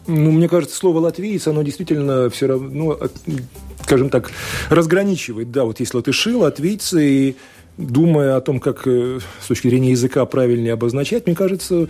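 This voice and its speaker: Male radio guest from Latvia, academic and politician, mellow husky voice, hesitant, fillers. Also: mid-range voice, brisk, faint music behind. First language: Russian. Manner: thoughtful, hesitant, many fillers and restarts. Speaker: guest, law scholar and politician. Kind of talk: radio interview answers.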